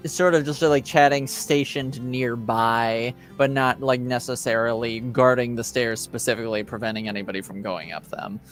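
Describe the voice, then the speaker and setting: A male game master improvises narration for a tabletop roleplaying game. His voice sits in a middle register and he talks in loose, halting phrases, feeling his way through each description. Faint music plays behind him. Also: medium pitch, steady tone, fairly bright tone.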